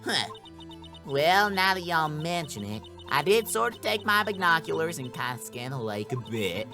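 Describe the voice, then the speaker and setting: A man's voice: a little high, very animated, normal speed, bright, forceful tone, soft background music, pitched above the performer's own voice, exaggerated voice. A man voicing a brash, flustered Southern woman witness in a courtroom game, with a folksy, indignant, stammering manner and a Southern US accent. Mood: sheepish.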